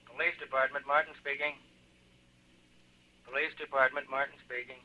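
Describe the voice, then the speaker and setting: An actor playing a businesslike police desk sergeant heard down a telephone in 1940s radio drama. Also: male voice, mid-range voice, level tone, moderate pace. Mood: routine, businesslike. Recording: muffled distant telephone, old radio.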